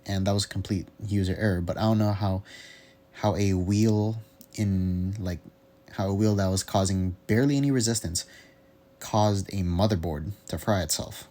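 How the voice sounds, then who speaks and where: A young man giving a casual, unscripted audio log, rambling haltingly as he searches for words. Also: low-pitched, fairly even intonation, dark tone. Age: young adult. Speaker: hobbyist vlogger.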